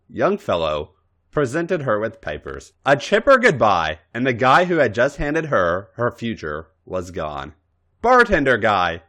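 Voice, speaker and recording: A man's voice: fairly low pitch, a bit bright. A man narrating fan fiction for a podcast in a dramatic, comic storytelling style.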